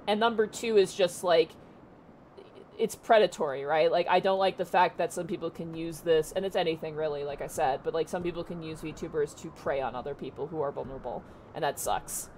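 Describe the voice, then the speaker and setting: A woman with a naturally low voice, rambling casually with lots of fillers while streaming. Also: brisk, darkish tone, slight background noise. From Canada. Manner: rambling, many fillers and restarts. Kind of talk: casual chat on a livestream.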